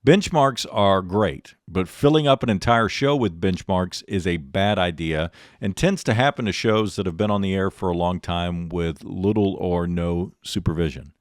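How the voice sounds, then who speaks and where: A middle-aged man hosting a podcast, delivering a scripted instructional monologue in a measured, articulate presenter's way. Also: low voice, no room sound, clean studio sound. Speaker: podcast host and radio talent coach.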